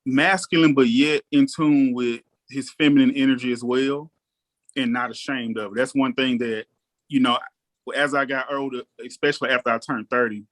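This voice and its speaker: A man in his thirties talks in a relaxed, thoughtful way on a casual podcast. His pitch sits in an ordinary middle range. He often pauses, filling the gaps with soft ums. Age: 30s. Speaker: guest co-host.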